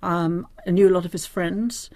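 A woman's voice: on the low side, fairly even intonation, normal speed, warm tone, no echo. An older woman with a New Zealand accent speaking reflectively as an interview guest, thoughtful and often searching for her words. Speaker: interview guest, diary editor.

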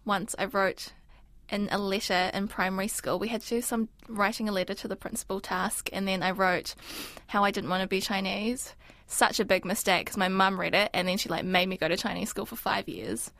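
A woman's voice: medium pitch, fairly even intonation, a little fast, gravelly, hardly any pauses, a bit bright, dry recording. A woman, whose was Mandarin, talking thoughtfully in an interview with frequent ums. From New Zealand. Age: 20s. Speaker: interview guest. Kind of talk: casual reflective interview talk.

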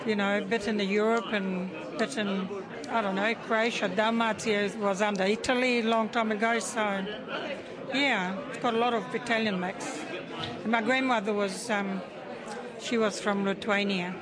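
A woman's voice: mid-range voice, steady tone, moderate pace. Older woman chatting amid crowd noise. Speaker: survey participant. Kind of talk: casual chat. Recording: busy crowd chatter behind.

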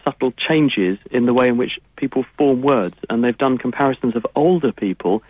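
A man's voice: medium pitch, normal speed, breathy edge, no room sound. English man explaining science over a phone line. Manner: fluent, long explanatory answers. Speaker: science expert guest. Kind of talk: explaining on a radio call-in. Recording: tinny phone-line sound.

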